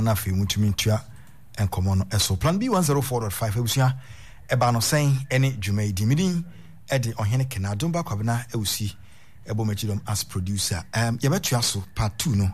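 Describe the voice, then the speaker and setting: Ghanaian man hosting a radio talk show. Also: lowish voice. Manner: unhurried, drawn-out reading of phone numbers. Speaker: radio host.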